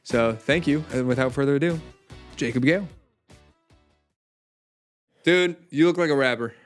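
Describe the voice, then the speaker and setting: A man's voice: mid-range voice, normal speed. Laid-back young male co-host, music faint. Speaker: podcast co-host. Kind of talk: casual podcast chat.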